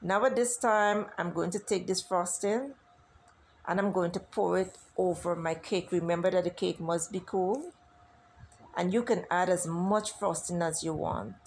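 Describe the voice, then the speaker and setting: Gravelly-voiced woman teaching a bake. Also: fairly low pitch, slight background noise. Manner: chatty, instructive, addresses viewers as guys. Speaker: cooking channel host. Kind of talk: casual cooking tutorial voice-over.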